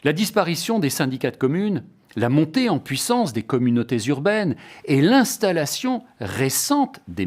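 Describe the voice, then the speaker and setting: A man's voice: medium pitch, darkish tone. A man delivering a scripted explanatory lecture in a formal, didactic way, stressing each point as he lists it. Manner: formal, didactic, emphatic enumeration.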